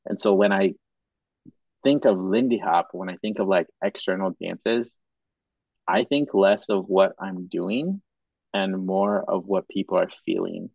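A young man from Madagascar hosting a podcast, talking in a relaxed, casual way and restarting his sentences as he thinks. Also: deep voice, a little slow, creaky, no echo, muffled recording. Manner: relaxed, says bro and dope a lot, thinks aloud with restarts. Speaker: podcast host.

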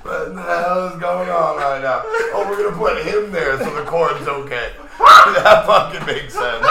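A man's voice: a little high, sing-song, a little slow, creaky, reverberant, a crowd in the background. A man, a guest on a podcast, chatting casually and joking with crude banter. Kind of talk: casual chat.

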